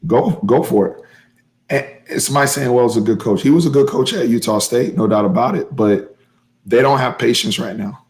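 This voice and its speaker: A hoarse man hosting a live fan stream, recapping a football game in an excitable, emphatic way and repeating his points. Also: mid-range voice, fairly quick. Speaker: host of a fan sports channel.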